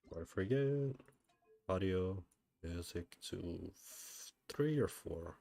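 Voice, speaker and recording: A man's voice: low-pitched, animated, slow pace, gravelly, warm tone. A man giving casual gameplay commentary as a streamer, talking offhandedly to himself and repeating phrases. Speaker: game streamer.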